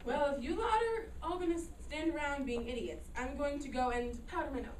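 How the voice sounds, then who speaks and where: A woman plays a no-nonsense dinner guest with a high voice, blunt and full of exasperated disbelief. It is a staged reading, caught at a distance in an echoey room. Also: brisk, close to an everyday voice. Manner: blunt, incredulous. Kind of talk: amateur staged play reading. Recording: echoey room from afar, faint noise.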